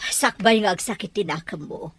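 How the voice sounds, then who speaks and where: A woman with an Ilocano accent voicing a calm, caring mother who gives gentle advice in a radio drama. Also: mid-range voice, normal speed, breathy edge, no room sound. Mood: soothing. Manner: gentle, advising. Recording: dry with faint music.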